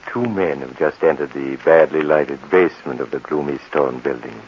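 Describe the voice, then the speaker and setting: An actor playing a creepy, suave host of 1940s radio mystery tales, theatrical and sinisterly polite, on an old, noisy recording. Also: male voice, a bass voice, normal speed, highs cut off. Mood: ominous, storytelling. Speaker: host and narrator. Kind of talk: 1940s radio horror narration.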